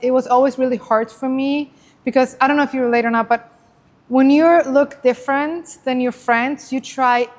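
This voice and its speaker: A woman hosting a casual, chatty interview in an animated, informal, expressive way. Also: high voice, normal speed, big-room sound. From Switzerland, originally from Iran. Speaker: podcast host interviewing a guest.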